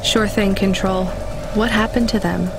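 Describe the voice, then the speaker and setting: An actress playing a young, plain-spoken and guarded delivery driver in a video game. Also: female voice, medium pitch, fairly even intonation, measured pace, close to an everyday voice. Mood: guarded, sympathetic. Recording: music behind the voice.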